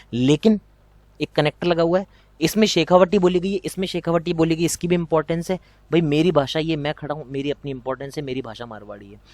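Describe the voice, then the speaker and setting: A young man with a Rajasthani accent teaches an exam prep lesson in a slightly higher than usual voice. He drums in key points by repeating them and tossing rhetorical questions at his students. The sound is dry and close, with no room in it. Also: darkish tone, dry recording.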